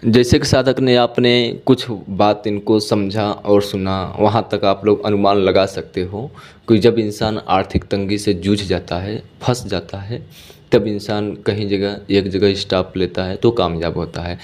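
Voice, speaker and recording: A man's voice: lowish voice, fairly even intonation, a little slow, darkish tone. A man hosting a recorded phone interview as a guru, asking probing questions and prompting with short acknowledgements. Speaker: guru and channel host interviewing a follower. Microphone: phone call.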